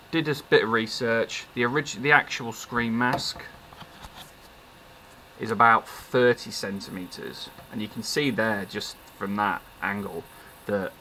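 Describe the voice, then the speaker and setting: A man talking casually and haltingly in a low-key, unscripted showcase of something he made. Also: bright, forceful tone, a little hiss or hum, rough recording. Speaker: maker showing his work.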